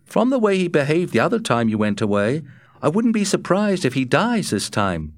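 A fretful, chattering frontier man pitched far up, a little loud and pressing, nagging about everything, as a male storyteller puts him on. Dry, close sound. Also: sing-song, moderate pace, dry recording. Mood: worried. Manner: anxious, nagging. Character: fretful, talkative man, cabin helper.

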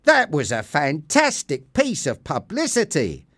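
A man's voice: fairly high pitch, wildly swooping pitch, measured pace, a bit bright, no echo, clean studio sound, full character voice. A man voicing an upbeat band manager with an enthusiastic, emphatic delivery. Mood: happy, excited.

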